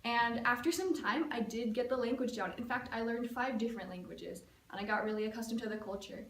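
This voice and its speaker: A young woman from the United States gives a storytelling speech with a somewhat high voice, chatting casually and dropping in plenty of "like" and "um". She sounds a little distant from the mic. The room adds a clear echo. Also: a little fast, a bit bright. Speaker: student giving a speech. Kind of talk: prepared personal storytelling speech. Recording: echoey room, mic at a distance, faint ambience.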